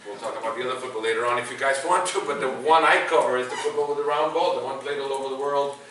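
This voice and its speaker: A man's voice: mid-range voice, fast talker, sharp tone. A man from El Salvador in his forties, speaking English with a Salvadoran Spanish accent as a guest speaker giving a self-deprecating, conversational talk to students in a university classroom. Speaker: guest speaker, sports broadcaster. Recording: distant mic, echoey classroom, faint noise.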